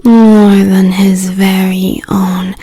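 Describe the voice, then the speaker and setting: A woman playing a tender, grieving mother in an audio drama, with a British accent. She speaks in a very breathy, dark hush, extremely slowly and with little melody, halting between phrases. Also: mid-range voice, level tone, dry recording, full character voice. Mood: tender sorrow. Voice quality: breathy, whispery. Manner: hushed, halting. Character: tender, mournful mother grieving her small son.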